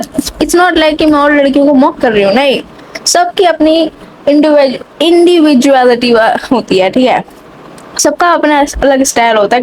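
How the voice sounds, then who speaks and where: Sarcastic high-voiced young woman vlogger. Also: a little mellow, slight background noise, poor audio. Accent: mixed English accent picked up online. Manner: sarcastic, chatty, rambling. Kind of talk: casual rant to camera.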